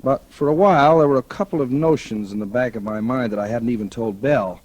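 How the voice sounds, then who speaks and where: Man as cocky 1940s radio newspaperman, husky voice, slangy and wisecracking, restless would-be novelist. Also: medium pitch, fast, a little mellow, lightly acted, low-quality recording. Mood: reflective, narrating. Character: cocky, restless male newspaper reporter and aspiring crime novelist. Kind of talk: 1940s radio drama acting. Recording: old 1940s radio recording.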